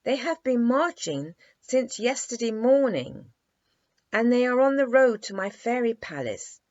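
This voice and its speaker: Female narrator as a proud young storybook prince, earnest, touchy, animated in tone, slightly dull dry sound. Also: a little high, a little slow, pitched above the performer's own voice. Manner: self-important, earnest, emphatic. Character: proud, dignified, touchy little boy prince.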